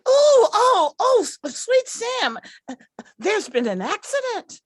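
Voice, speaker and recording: A sneaky, catty old lady played by a woman in an old-time radio comedy. Her exaggerated delivery is slowish and swoops widely, recorded close and dry. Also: very high-pitched, very animated, no room sound, full character voice. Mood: flustered.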